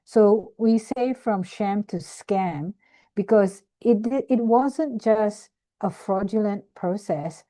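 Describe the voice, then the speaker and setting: A woman's voice: fairly low pitch, warm tone, dry recording. Hesitant older woman from Malaysia, dry. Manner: hesitant, many ums and restarts. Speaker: webinar speaker, activist. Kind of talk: casual presentation over video call. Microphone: video call.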